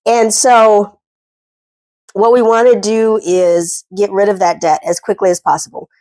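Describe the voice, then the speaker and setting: A woman's voice: mid-range voice, level tone, a little slow, mellow, no room sound. A woman with a cold talking from home, giving chatty, earnest advice about getting out of debt in a self-deprecating way. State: congested from a cold. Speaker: personal finance vlogger. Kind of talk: casual talking-head advice.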